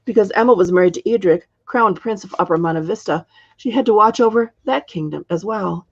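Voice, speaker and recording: A woman narrates a storybook as its author. Her voice is gravelly and husky, captured in slightly muffled, lo-fi sound. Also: mid-range voice, brisk. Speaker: author reading own book.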